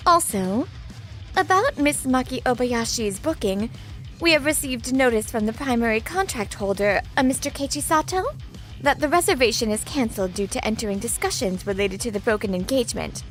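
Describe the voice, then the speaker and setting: A woman's voice: fairly high pitch, expressive, normal speed, soft background music, close to an everyday voice. A woman playing a stern, formal female company president in a manga dub.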